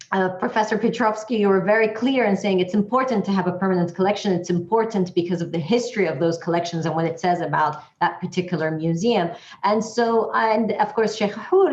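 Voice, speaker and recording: A woman from Iraq moderating a roundtable discussion with measured, diplomatic and somewhat hesitant phrasing. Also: medium pitch. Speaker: panel moderator. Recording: roomy, dull lo-fi conference audio.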